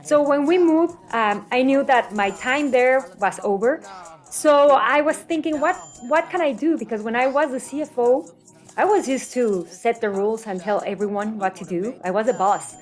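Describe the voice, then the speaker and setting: A woman's voice: a little high, normal speed, faint music behind. A middle-aged woman from Mexico City with a Mexican accent and Spanish as her first language, telling personal stories casually as an interview guest, acting out quotes. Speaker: interview guest, health coach.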